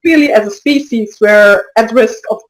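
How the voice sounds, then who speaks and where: A woman speaking as an interview guest in casual talk, halting, with many restarts and soft laughs. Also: on the high side, poor audio. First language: not French. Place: lives in Brussels area.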